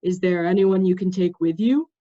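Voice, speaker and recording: A woman's voice: fairly low pitch, normal speed, mellow, close to an everyday voice. A woman voicing an earnest, reluctant, easily hurt young king from a storybook. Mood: concerned. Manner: sincere, reluctant. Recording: slightly dull, lo-fi sound.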